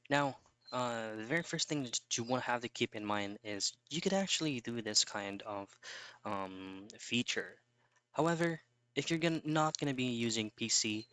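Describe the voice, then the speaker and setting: A man narrates a how-to tutorial in a low-pitched, clear and resonant voice. The tone is bright and forward, and he explains each step as an instructional voice-over. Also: dry recording. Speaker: tutorial presenter.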